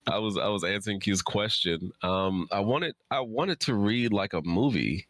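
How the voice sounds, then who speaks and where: A man's voice: on the low side, lively intonation, moderate pace, fairly bright tone, dry recording. A man in his thirties chatting casually and informally as an author on a livestream Q&A. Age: 30s.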